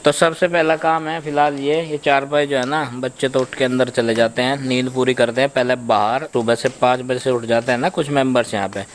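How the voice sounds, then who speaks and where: A man's voice: mid-range voice, brisk, hardly any pauses, slight background noise. A man chatting casually and joking about his day plans at home outdoors. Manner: relaxed, joking, talks softly at times. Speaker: family vlogger. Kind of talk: casual chat.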